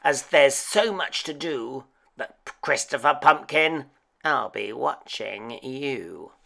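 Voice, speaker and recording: A woman's voice: rumbling low, creaky, a bit bright, heavily acted. A woman voicing a wicked, short-tempered old witch who snaps and scolds in a storybook reading. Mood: stern. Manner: snappish, scolding, screeching.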